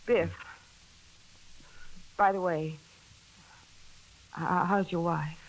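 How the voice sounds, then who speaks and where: An American actress playing a sharp-tongued, long-suffering, sarcastic wife in a 1940s radio drama. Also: female voice, fairly low pitch, steady tone, extremely slow, full character voice, rough recording. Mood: sardonic. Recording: old 1940s radio recording.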